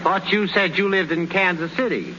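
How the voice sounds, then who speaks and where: An actor playing a skeptical ballplayer teammate who needles with wisecracking questions, in a 1930s radio drama. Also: male voice, high voice, expressive, normal speed. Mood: teasing. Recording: dull, hissy 1930s radio broadcast.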